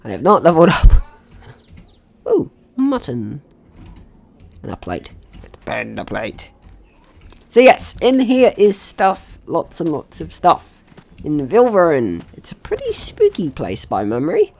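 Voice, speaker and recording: Male gaming host, very lively intonation, casual and playful running commentary, mild swearing. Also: a little high, measured pace, gravelly, pauses often. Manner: playful, swearing, talks to game characters. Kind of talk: casual let's-play commentary.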